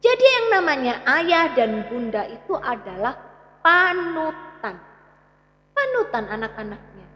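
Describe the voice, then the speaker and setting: A woman's voice: high voice, sing-song, a little slow, fairly bright tone. A woman with an East Javanese Indonesian accent giving a religious parenting talk, teaching emphatically and addressing parents directly with rhetorical questions. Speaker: Islamic parenting speaker. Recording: echoey hall, slightly muffled sound.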